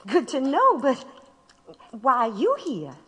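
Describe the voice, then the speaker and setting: An actress plays an outspoken woman radical in an audio drama. Her voice is high and very animated, with a forceful edge. She sounds defiant, with hall reverb around her. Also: slow pace, breathy edge, exaggerated voice. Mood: curious.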